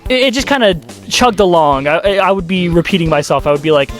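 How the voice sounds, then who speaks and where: Animated, sarcastic commentator over faint music. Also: mid-range voice, fast. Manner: exasperated, sarcastic, swears freely. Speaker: YouTube video essayist. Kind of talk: casual commentary with scripted narration.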